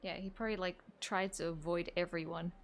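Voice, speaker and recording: A woman's voice: lowish voice, steady tone, fairly quick, a little hiss or hum. A woman giving casual, chatty reaction commentary while playing a game, laughing often and using lots of fillers. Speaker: gaming streamer.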